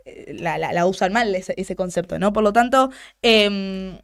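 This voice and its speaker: A woman's voice: medium pitch, measured pace. A young woman with an Argentine accent hosting a radio talk show, giving outspoken political commentary and often repeating words as she restarts. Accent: Argentine Spanish. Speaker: radio host. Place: radio studio.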